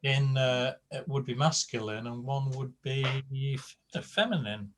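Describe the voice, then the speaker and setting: A man running a class over a video call speaks, unhurried and full of ums. His pitch sits in the middle, and his pace is very slow. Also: slight background noise. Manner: slow, hesitant, filler-heavy. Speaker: teacher. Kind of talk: online class.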